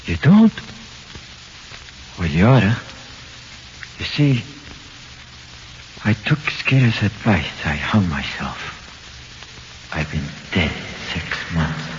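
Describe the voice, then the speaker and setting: An actor playing a weary condemned small-time crook with an American accent and slangy, resigned talk, in 1940s radio drama. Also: male voice, low voice, very animated, takes it slowly, long pauses, heavily acted. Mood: grimly knowing. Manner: slangy, resigned, plain-spoken. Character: weary condemned small-time crook, ghostly narrator of his own death. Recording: dull noisy 1940s radio recording.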